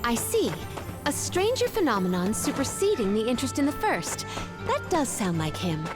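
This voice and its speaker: Thoughtful, solemn young female game character, formal phrasing, fairly high and melodic. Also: huge pitch swings, moderate pace, over loud music. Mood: pondering. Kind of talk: video game voice acting.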